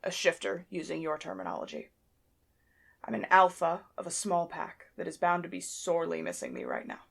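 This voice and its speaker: Woman acting a gravelly, low-voiced female werewolf alpha. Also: sing-song, a little fast, full character voice. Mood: explaining. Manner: blunt, dry, informal. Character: guarded, blunt young female werewolf pack alpha. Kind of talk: scripted audio drama acting.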